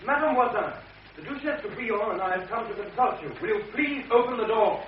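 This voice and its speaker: An actor playing an impetuous, haughty young chevalier, brusque and insistent, in a 1950s radio drama. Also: male voice, very high voice, fast talker. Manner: brusque, commanding, urgent. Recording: distant, echoey, hissy muffled 1950s radio recording.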